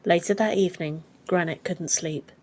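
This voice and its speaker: Low-pitched woman narrating fiction. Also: normal speed, a little mellow. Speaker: audiobook narrator.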